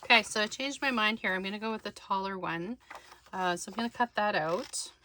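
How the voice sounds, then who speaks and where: A woman's voice: mid-range voice, brisk, noisy background. A woman narrating a hands-on craft tutorial casually, thinking aloud as she works. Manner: informal, thinking aloud while working.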